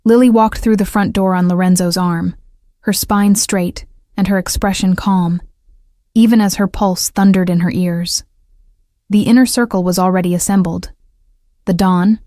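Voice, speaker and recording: A woman narrating a dramatic crime story in an even, measured reading. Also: fairly low pitch, no echo, studio quality.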